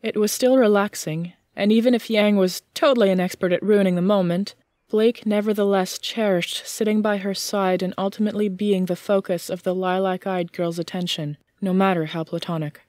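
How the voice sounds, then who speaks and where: A woman reading scripted fiction as an audiobook narrator, in a measured storytelling manner. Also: medium pitch, steady tone, a little mellow, no echo.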